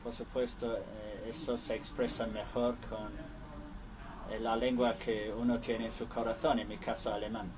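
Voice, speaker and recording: A man interpreting conference speeches live, halting and restarting often. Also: fairly low pitch, little pitch movement, a crowd in the background, low-quality recording.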